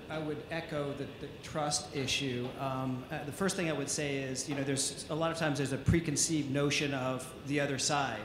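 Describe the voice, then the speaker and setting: A man speaking as a panelist at a conference, measured and even, with frequent ums. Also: mid-range voice, a little hiss or hum. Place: conference hall. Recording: echoey hall with faint ambience.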